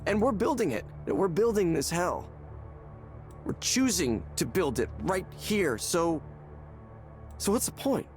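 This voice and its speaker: A man's voice: high voice, very animated, unhurried, pauses often, faint music behind. A man acting a despairing, hollow office worker in a video game, confiding in a hesitant, anguished way. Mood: anguished, bitter.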